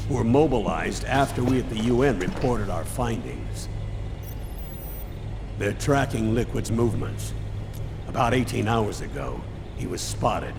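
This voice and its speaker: An actor playing an earnest older military commander in a video game, giving a solemn, measured briefing. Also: male voice, fairly low pitch, gravelly, noisy background. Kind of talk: dramatic video game voice acting.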